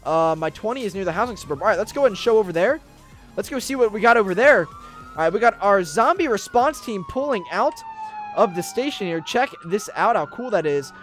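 Young male American gaming host, high-pitched and very lively, chatty roleplay commentary over music. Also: brisk. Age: young adult. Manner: excitable, chatty, addressing viewers directly. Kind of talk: casual roleplay gameplay commentary. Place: indoor room gaming setup with webcam.

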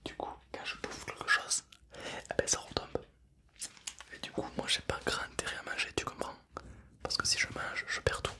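A young man plays a calm, chatty zombie in a breathy, close-miked ASMR whisper. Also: lightly acted. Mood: wistful, rambling. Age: 20s. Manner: slow, hushed, rambling, playful. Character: calm, chatty, self-deprecating male zombie, mock-menacing. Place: indoor studio setup. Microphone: close stereo microphones either side of the head.

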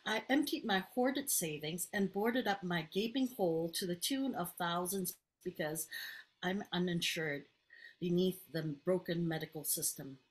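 A middle-aged woman performs spoken-word poetry in a medium-pitched voice. Her delivery is dramatic and expressive, shaped by deliberate pauses. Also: slightly rough, low-quality recording. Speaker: poet, teacher. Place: bedroom indoors. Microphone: video call, desk distance.

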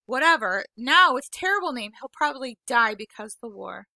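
Young boy, upset, defensive, higher, bright, woman reader. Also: very animated, brisk, creaky, no echo, exaggerated voice, low-quality recording. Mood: hurt, insistent. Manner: raw, defensive, voice rising in protest. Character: upset 12-year-old boy, frustrated and tearful.

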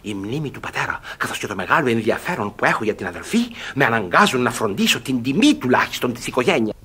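An actor playing a suspicious, gloating middle-aged guardian in a classic radio theatre comedy, blustering and fussy. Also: male voice, mid-range voice, big pitch swings, close to an everyday voice. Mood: muttering scorn.

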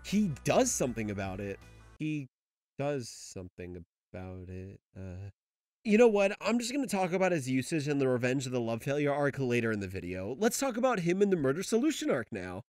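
A man's voice: medium pitch, sing-song, a little fast, darkish tone, no room sound, studio quality, soft background music. A man narrating a scripted video essay in an enthusiastic, informal way, with casual asides and some swearing.

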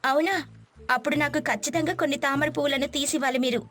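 A woman voicing an eager, delighted young city-bred wife in an animated moral story, with music behind her. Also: high voice, quick pace. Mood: delighted, curious.

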